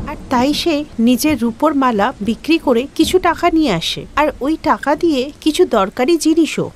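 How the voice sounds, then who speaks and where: A woman giving scripted storytelling narration as the narrator of a tale. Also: sits high.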